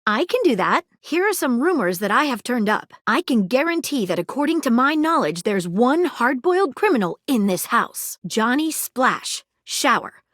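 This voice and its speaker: A woman's voice: on the high side, big pitch swings, moderate pace, hardly any pauses, a bit bright, no echo, clean studio sound. A woman voicing an eager, prim detective magnifying glass in a video game, with arch, wordy, old-fashioned sleuth talk and a suspicious, wry temper. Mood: wry, helpful. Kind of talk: theatrical video game character acting.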